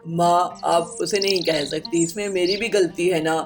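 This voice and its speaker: A woman's voice: fairly low pitch, fairly even intonation, measured pace, lightly acted. A woman doing an anxious young man. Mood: pleading. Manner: anxious, pleading. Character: worried young husband Sonu.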